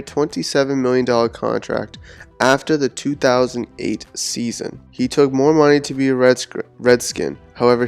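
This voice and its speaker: A man's voice: mid-range voice, clean tone. A man hosting a solo sports podcast, talking in an earnest, rambling way while recovering from a cold. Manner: earnest, rambling with repeated words. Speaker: podcast host.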